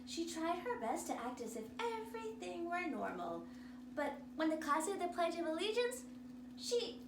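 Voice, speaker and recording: A high-voiced woman reads a children's story aloud like a drama teacher. Her delivery is theatrical and very animated, with slow, emphatic phrasing. The sound is echoey. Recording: roomy, distant mic, noisy background.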